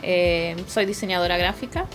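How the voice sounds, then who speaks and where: A middle-aged woman with a Buenos Aires accent, calmly answering as a guest with hesitant fillers. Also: on the low side, normal speed, music in the background. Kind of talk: casual interview talk. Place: living room, indoors.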